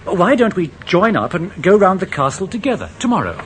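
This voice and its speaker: An actor playing a defensive, irritable young newlywed husband, exasperated and placating, in a radio drama with faint hiss. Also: male voice, high voice, very animated, brisk, fairly bright tone.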